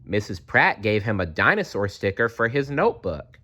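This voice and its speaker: A man narrating a children's storybook aloud in an expressive read-aloud style. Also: lowish voice, normal speed. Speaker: storybook reader.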